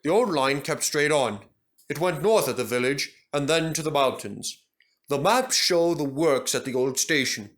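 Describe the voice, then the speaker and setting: A man's voice: a little high, lively intonation, moderate pace, fairly bright tone. A man voicing a businesslike railway manager in a children's storybook, explaining in a matter-of-fact way. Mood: explanatory, confident. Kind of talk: children's storybook character acting.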